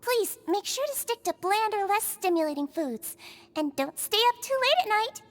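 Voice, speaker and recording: A small, gentle nurse speaks in an extremely high, childlike voice. The intonation is highly melodic, polite and sincerely caring, giving careful instruction in the style of a video game character. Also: female voice, big pitch swings, moderate pace. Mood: caring, instructive.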